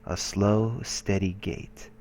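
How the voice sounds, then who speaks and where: Deep-voiced man reading game narration. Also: steady tone, slow pace, a little mellow, a little hiss or hum. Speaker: let's play host. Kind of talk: reading visual novel narration aloud. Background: game background music.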